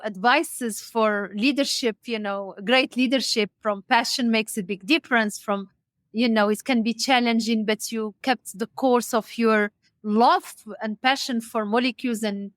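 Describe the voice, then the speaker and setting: A woman from Morocco hosting a podcast, interviewing conversationally in a halting, discursive and affirming way. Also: fairly high pitch, no room sound, clean studio sound. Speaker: podcast host.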